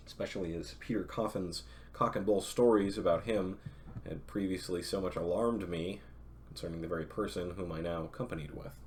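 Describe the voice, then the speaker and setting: A man's voice: low voice, a little mellow. A young man reading a classic novel aloud on a stream, breaking off for chatty, self-correcting asides to his viewers.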